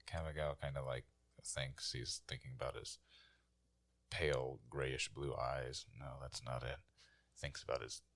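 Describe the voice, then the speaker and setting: A man's voice: very deep voice, vocal fry, fairly bright tone. A man casually improvising as a tabletop roleplay player, with a dry, joking, informal manner.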